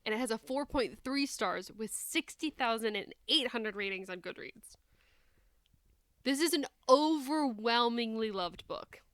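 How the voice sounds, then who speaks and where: A woman's voice: a little high, animated, measured pace, fairly bright tone, dry recording. A woman with an American Midwestern accent co-hosting a podcast, chatting casually in a candid, gossipy, self-deprecating way. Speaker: podcast co-host. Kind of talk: casual chat.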